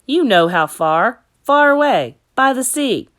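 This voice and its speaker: A woman's voice: a little high, very animated, measured pace, a bit bright, pitched above the performer's own voice. A woman reading the part of a patient farm girl in a storybook, reassuring her little brother matter-of-factly.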